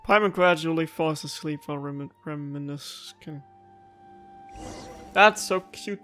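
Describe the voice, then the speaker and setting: Man, higher-pitched, bright forward tone, casual let's play chat, self-deprecating. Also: measured pace, faint music behind. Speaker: gaming streamer. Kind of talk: casual let's play commentary, reading dialogue aloud.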